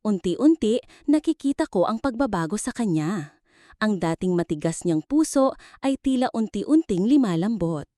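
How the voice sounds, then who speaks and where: A woman's voice: medium pitch, animated, moderate pace, warm tone, no echo, clean studio sound. A woman narrating a scripted dramatic story in the first person, with an earnest, sentimental delivery.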